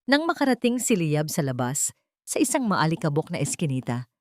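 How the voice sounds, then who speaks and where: A woman's voice: low voice, very animated, normal speed, dry recording, studio quality. A woman with a Filipino accent reading a dramatic love story as a scripted narration.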